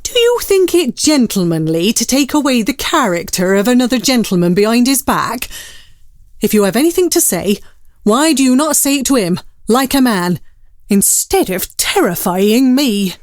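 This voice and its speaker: An actress playing a proper, excitable widowed innkeeper with genteel, deferential manners in a period audio drama. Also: female voice, a little high, normal speed, dry recording, clean studio sound. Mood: indignant. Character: polite, proper, excitable widowed woman innkeeper in Victorian tale.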